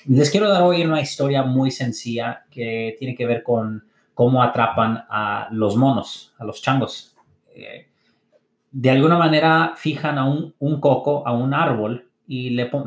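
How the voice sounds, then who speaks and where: An earnest man delivers a motivational podcast monologue in an echoey room. He keeps stopping on fillers and restarting his words, yet his tone stays encouraging. His pitch sits in a middle range. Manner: halting, frequent "eh" fillers and restarts. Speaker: podcast host.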